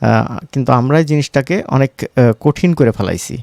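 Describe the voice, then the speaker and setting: Man, Bangladeshi Bengali accent, gravelly voice, informal tutorial, frequent check-in questions, close dry sound. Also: medium pitch, normal speed, a little mellow, no echo. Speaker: tutorial instructor. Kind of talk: informal screen-recorded software tutorial.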